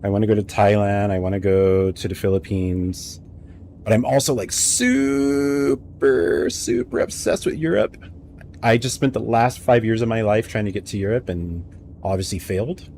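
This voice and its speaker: A young man with a lowish voice rambles through travel stories while he games live. He speaks into a headset from a home setup, and a faint hum of game ambience sits behind him. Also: moderate pace, vocal fry. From United States. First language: English. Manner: rambling, anecdotal, animated storytelling. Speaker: streamer. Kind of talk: casual live-stream chat while gaming.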